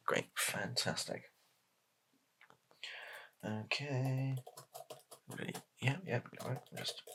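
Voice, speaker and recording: A man playing a flustered, placating political advisor in an improvised ASMR comedy roleplay, speaking softly in a hesitant, stammering, deadpan way. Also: deep voice, slight background noise, voice pushed up, full character voice. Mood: flustered, resigned. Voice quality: soft-spoken, gravelly, breathy. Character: flustered, placating male political spin doctor advising his boss.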